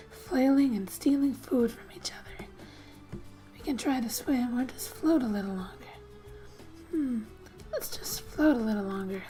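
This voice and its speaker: A woman speaking softly and breathily, often near a whisper, reading a game's story aloud in a gentle, unhurried ASMR style with casual asides. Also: sits high, low-quality recording. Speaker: ASMR gamer and host.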